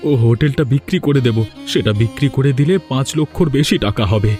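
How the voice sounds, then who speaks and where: A man's voice: medium pitch, quick pace, warm tone, lightly acted. Man voicing a worried, earnest village father. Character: worried, devoted village father and hotel owner. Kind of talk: melodramatic cartoon voice acting. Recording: music behind the voice.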